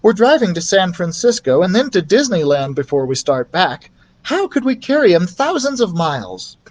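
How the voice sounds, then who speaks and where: A man reads as a kindly young woman who teaches kindergarten in a storybook. The voice is lightly raised in pitch, practical and careful. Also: big pitch swings, moderate pace, a little mellow. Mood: sensible.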